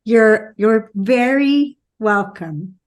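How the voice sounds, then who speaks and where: An American woman speaking as a guest relationship expert, emphatic and quick to laugh. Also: a little high, lively intonation. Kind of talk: casual interview talk.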